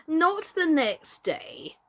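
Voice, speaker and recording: A gentle, foolish old storybook knight, as a woman acts him out, speaks in slow, drawn-out phrases. The delivery is doleful yet eager and fussy, swinging widely up and down with theatrical earnestness. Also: high-pitched, sing-song, pitched above the performer's own voice, exaggerated voice. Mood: doleful, thoughtful. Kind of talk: children's storybook character voice.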